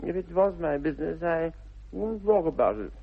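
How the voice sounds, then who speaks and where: An actor playing a flippant, idle young English gentleman with an upper-class accent and airy, mock-earnest wit, in 1960s radio comedy style. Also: on the high side, expressive, moderate pace, husky. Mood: airy teasing. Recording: muffled narrow-band vintage radio recording.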